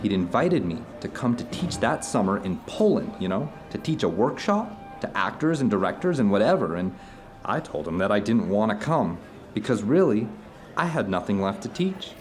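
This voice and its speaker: Guy playing a theater director telling stories to his old friend, radio play, lowish and really lively intonation. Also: moderate pace, faint music behind. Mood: reflective, earnest. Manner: storytelling, earnest, discursive. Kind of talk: conversational dramatic monologue.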